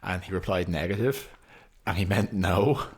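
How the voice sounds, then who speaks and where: A young man co-hosting a podcast in casual chat, dry and teasing, with drawn-out fillers. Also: low voice, husky. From Ireland. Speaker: podcast co-host.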